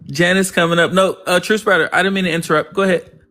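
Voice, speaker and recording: A man's voice: high-pitched, steady tone, quick pace. Effusive man thanking his listeners. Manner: warm and effusive, repeats words of thanks. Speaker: livestream host. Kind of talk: casual positive-affirmation talk.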